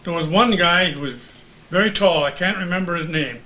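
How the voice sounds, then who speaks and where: A man's voice: high voice, brisk, pushed and bright, a little hiss or hum, rough recording. An older man, a veteran radio announcer, reminiscing in casual chat.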